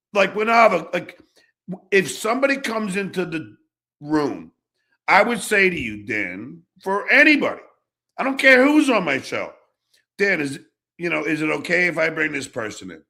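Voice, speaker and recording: A man's voice: high voice, big pitch swings, a little slow, vocal fry, long pauses, pushed and bright. A man hosting a casual talk show, rambling and ranting with lots of swearing and repetition. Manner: foul-mouthed, rambling, repeats himself. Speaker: show host.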